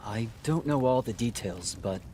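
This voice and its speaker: An actor playing a solemn, measured man who recounts a war formally in a fantasy game. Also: mid-range voice, expressive, warm tone, a little hiss or hum, natural voice. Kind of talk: dramatic storytelling in a fantasy game cutscene.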